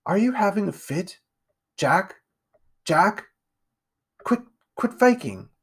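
A man's voice: high-pitched, very animated, slow, husky, pitched above the performer's own voice, exaggerated voice. A man voicing a haughty rich little boy from a storybook, with a sneering, taunting manner. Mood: alarmed. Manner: sneering, contemptuous.

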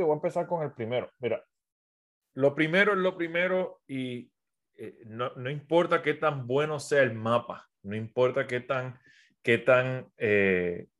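A Puerto Rican man with an accent blended by years in South America, coaching his audience on a live stream. He talks to them directly and casually in a middle-pitched voice. His sentences are full of fillers and restarts. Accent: Puerto Rican Spanish with a South American mix. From Puerto Rico. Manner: conversational, many fillers and restarts, addresses viewers directly. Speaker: entrepreneur and business coach. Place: at home, phone on a tripod.

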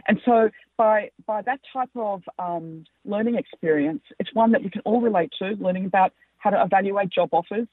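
A woman with an Australian accent, a company founder answering interview questions, speaking haltingly with many fillers. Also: mid-range voice, no room sound. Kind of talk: interview over the phone. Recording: tinny dry phone line.

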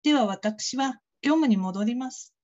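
A strict, candid office worker played by a woman with a distinctly high voice. She speaks formally and plainly, as in a radio drama. The recording is a little muffled. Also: lively intonation, unhurried, very noisy. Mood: cool, sincere. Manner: formal, matter-of-fact. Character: strict, candid, businesslike female call-centre colleague.

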